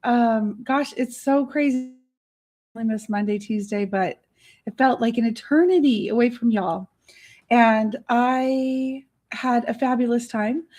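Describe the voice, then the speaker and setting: A middle-aged woman with a raspy, hoarse voice, hosting a casual live chat from her home studio on a studio mic. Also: fairly high pitch, unhurried, darkish tone. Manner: chatty, bubbly, giggly. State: hoarse, worn voice. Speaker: livestream host. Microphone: studio microphone.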